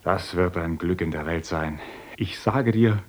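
A man's voice: deep voice, measured pace. An actor playing an idealistic young male teacher in a radio play, earnest and lecturing. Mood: fervent. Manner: earnest, lecturing, grows fervent. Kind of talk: radio drama acting.

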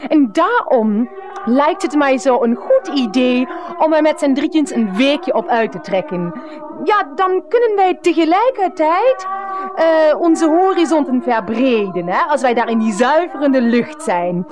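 High-voiced, unhurried, dreamy mistress. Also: female voice, very animated. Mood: soothing, dreamy. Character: Nancy, dreamy, earnest mistress with woolly therapeutic talk. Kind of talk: radio comedy drama acting.

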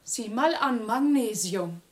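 An actress in a German radio play, playing a frank, good-natured wife of an engineer. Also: female voice, a little high, lively intonation, takes it slowly, roomy sound, very noisy. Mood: light, frank.

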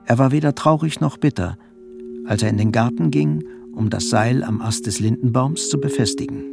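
An actor narrating a bleak literary crime tale for radio drama in a sober, matter-of-fact manner. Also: male voice, medium pitch, normal speed, gravelly, close to an everyday voice. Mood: sombre. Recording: faint music behind the voice.

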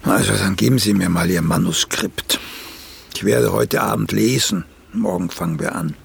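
Radio play: vain old playwright, heavy creak, curt commands, self-important. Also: male voice, sits low, moderate pace, full character voice. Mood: commanding. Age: older. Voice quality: gravelly. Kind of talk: German radio play acting. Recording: faint background noise.

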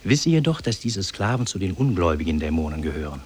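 A solemn spirit in human shape with a low, husky voice. He declaims formally and kindly, in the measured manner of old radio drama. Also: very animated, full character voice. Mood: grave, instructing. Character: solemn, benevolent male demon in human form. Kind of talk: 1950s German radio drama acting.

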